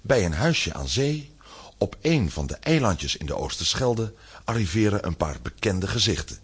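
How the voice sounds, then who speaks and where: A man's voice: lowish voice, very animated, moderate pace, slight background noise. A man narrating a scripted children's audio drama as the storyteller.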